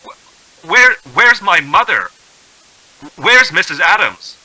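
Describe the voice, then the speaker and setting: An actor playing a distraught, haunted traveler in a radio drama, speaking dramatically and stammering when shaken. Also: male voice, high voice, very animated, takes it slowly, pushed and bright, dry recording, exaggerated voice, rough recording. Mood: panicked disbelief. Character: distraught, haunted male traveler on the edge of panic. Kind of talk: old-time radio drama acting.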